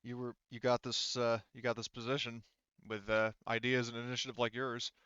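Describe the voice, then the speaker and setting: Smooth courteous colonial official, acted by a man. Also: medium pitch, little pitch movement, brisk, a bit bright, dry recording, highs cut off, close to an everyday voice. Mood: courteous, approving. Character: agreeable male colonial supervisor, courteous and official.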